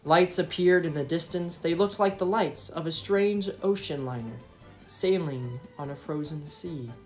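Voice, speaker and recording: Expressive male storybook narrator over music. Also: fairly high pitch, measured pace. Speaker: storybook reader.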